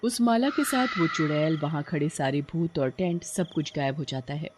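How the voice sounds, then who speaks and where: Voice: medium pitch, moderate pace. A narrator telling a scripted horror cartoon story.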